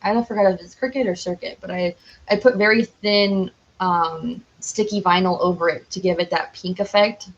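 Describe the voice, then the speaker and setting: A woman's voice: lowish voice, steady tone, moderate pace, lo-fi sound. A young adult American woman chatting casually as a podcast guest, telling stories in a giggly way. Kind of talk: casual chat.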